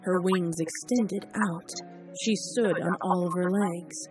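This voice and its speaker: A woman narrating a fanfiction story in a measured, dramatic way. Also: lowish voice, fairly even intonation. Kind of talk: audiobook fanfic reading. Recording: distant voice over loud music.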